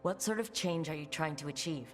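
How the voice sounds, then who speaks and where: Woman playing a low-voiced, level-headed adventurer. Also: a little fast, faint music behind, lightly acted. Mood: probing, calm. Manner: direct, questioning, composed. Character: level-headed female fantasy adventurer, questioning and pragmatic. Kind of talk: video game drama acting.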